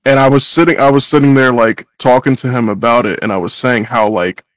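A man of middle pitch talks in a loose, slangy way, packing his sentences with fillers and casual swearing. The recording is dry, with hardly any room sound. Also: fairly even intonation, brisk, no echo. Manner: laid-back, slangy, many fillers and swearing. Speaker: YouTuber giving advice. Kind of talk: casual group chat.

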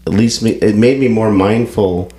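A man's voice: on the low side, normal speed, warm tone. A man co-hosting a podcast, congested and stuffy, talking in loose rambling chat with frequent restarts. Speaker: podcast co-host. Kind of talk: casual chat.

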